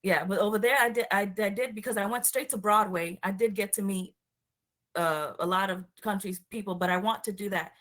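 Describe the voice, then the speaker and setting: A woman's voice: mid-range voice, brisk, fairly bright tone. A woman with a Puerto Rican accent hosting a casual interview over a call, chatty and enthusiastic, trailing off with fillers. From Puerto Rico. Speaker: show host.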